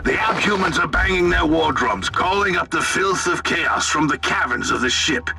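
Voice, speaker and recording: Gravelly, battle-hungry sci-fi commander. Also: male voice, fairly high pitch, expressive, distant mic, over music. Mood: urgent, commanding. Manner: commanding, brisk, sardonic. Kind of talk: dramatic video game voice acting.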